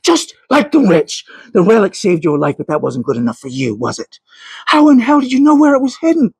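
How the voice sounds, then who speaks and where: A man's voice: sits high, wildly swooping pitch, fairly quick, creaky, a little mellow, breathy edge, dry recording, studio quality, voice pushed up, heavily acted. A man voicing an angry twelve-year-old boy who scolds in hissing exclamations in a storybook reading.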